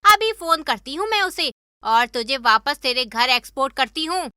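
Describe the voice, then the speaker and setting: A woman voicing a sharp-tongued, indignant middle-aged mother-in-law in an animated moral story. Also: high-pitched, normal speed, no echo, clean studio sound, heavily acted. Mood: outraged, scolding. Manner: indignant, berating.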